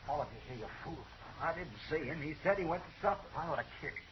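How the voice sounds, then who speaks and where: An actor playing an agitated, panicky outlaw hanger-on in an old radio western, on a dull vintage recording. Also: male voice, a little high, expressive, quick pace, close to an everyday voice. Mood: angry, alarmed. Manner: agitated, pleading. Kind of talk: 1950s radio western acting.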